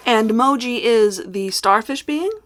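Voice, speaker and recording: A woman's voice: a little high, unhurried, lightly acted. A woman playing a professional TV news anchor with polished broadcast delivery in a sci-fi audio drama. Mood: urgent, professional.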